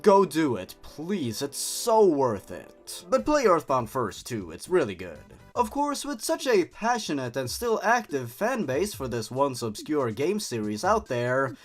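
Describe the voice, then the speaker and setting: A man who is not a native English speaker, hosting scripted commentary and horror story readings in an expressive way, with sardonic asides. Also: on the high side, a little slow, hardly any pauses. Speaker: host reading and reviewing horror stories. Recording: music behind the voice. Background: background music.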